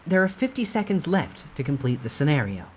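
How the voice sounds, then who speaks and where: Casting: game system notifier, acted by a man, higher voice, formal and impersonal, faint noise behind. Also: big pitch swings, fairly quick. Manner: formal announcing.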